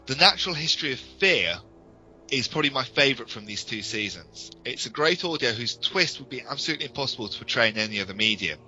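A man with a British accent and a very bright, sharp voice holds forth in casual, opinionated fan chat. The audio is slightly dull and lo-fi, with music in the background. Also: fairly low pitch. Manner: frank, opinionated. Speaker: podcast co-host.

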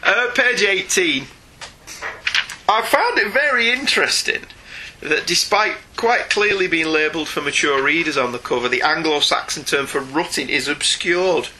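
A man's voice: a little high, animated, normal speed, pushed and bright, slight background noise, low-quality recording. A British man co-hosting a comics podcast, reviewing in a conversational, opinionated and wry way. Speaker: podcast co-host.

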